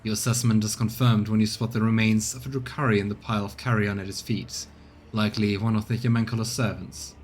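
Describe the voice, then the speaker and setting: A man's voice: fairly low pitch, little pitch movement, a little fast, slight background noise. A man streaming a game, reading its text aloud as narration and adding casual comments of his own. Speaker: streamer, let's player.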